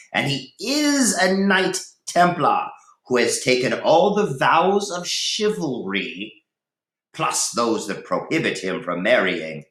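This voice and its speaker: A storybook Saxon lord, proud and disdainful, voiced by a man reading aloud. His pitch is pushed up into declamation, with a grudging dignity. The room rings around him. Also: sharp tone, echoey room, full character voice, low-quality recording. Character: gruff, proud Saxon lord, disdainful yet dutifully hospitable.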